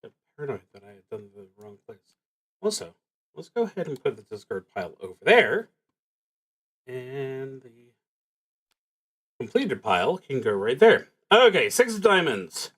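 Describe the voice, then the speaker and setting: A man thinking aloud as he narrates a solo tabletop roleplaying game, in a halting, musing, wry way. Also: mid-range voice, big pitch swings, fairly bright tone, breathy edge.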